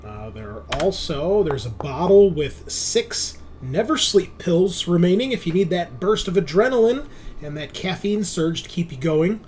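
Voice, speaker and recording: A man's voice: high voice, lively intonation, unhurried, darkish tone, a little hiss or hum. A man running a tabletop sci-fi game as game master, describing scenes conversationally and correcting himself as he improvises.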